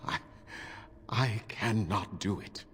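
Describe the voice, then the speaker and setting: An actor playing a bitter, weary ancient elf in a fantasy game, speaking gravely and haltingly. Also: male voice, lowish voice. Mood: defeated, anguished. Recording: long reverb, faint game ambience noise.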